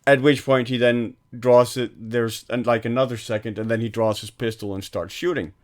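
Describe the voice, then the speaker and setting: A man's voice: mid-range voice, steady tone, normal speed. A man co-hosting a film podcast, talking casually with many fillers and restarts. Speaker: podcast co-host.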